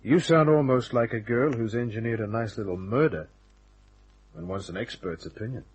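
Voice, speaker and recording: Husky, laconic hard-boiled detective. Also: male voice, mid-range voice, moderate pace, poor audio. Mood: skeptical, wry. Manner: wry, casual, hard-boiled. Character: laconic, tough, cynical male private detective. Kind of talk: old-time radio detective drama acting with first-person narration.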